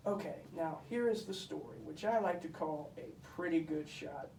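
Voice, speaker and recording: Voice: mid-range voice, moderate pace, gravelly, a little mellow, lightly acted. A boastful, bossy puppet kid telling comic Bible stories , voiced by a performer. The delivery is pompous, emphatic and easily exasperated. It is heard from a distance in an echoey room with faint noise. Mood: self-important storytelling. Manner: pompous, emphatic, mock-scholarly.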